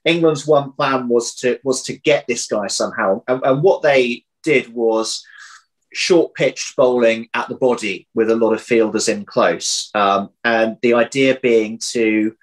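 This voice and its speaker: A man in his thirties chatting casually as an interview guest over a video call, often pausing, repeating words and correcting himself as he thinks. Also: medium pitch, rough recording. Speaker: interview guest, audio drama writer. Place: indoor room at home. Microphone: video call, computer mic.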